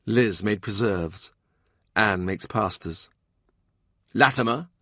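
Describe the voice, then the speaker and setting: A man narrating a literary historical novel as an audiobook, in a measured, dramatic storytelling manner. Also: fairly low pitch, a bit bright, no room sound, clean studio sound.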